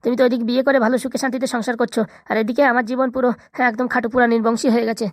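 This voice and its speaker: A woman's voice: fairly high pitch, little pitch movement, racing, dry recording, clean studio sound, close to an everyday voice. A woman voicing a poor, desperate young runaway man in a comedy cartoon, pleading meekly. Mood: lovelorn, mournful. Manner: pleading, meek.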